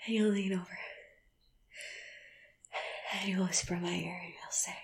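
A woman speaking a slow, intimate audio roleplay monologue, often in a breathy whisper with sighs and soft laughs between phrases. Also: fairly low pitch, fairly even intonation, a little hiss or hum.

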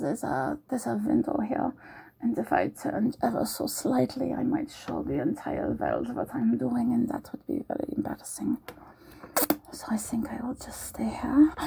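A woman's voice: a little high, mellow, exaggerated voice, poor audio. A woman putting on a giggly German-accented tavern maid. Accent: put-on German accent. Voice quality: breathy, whispered. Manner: giggly, teasing, slow. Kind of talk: roleplay audio.

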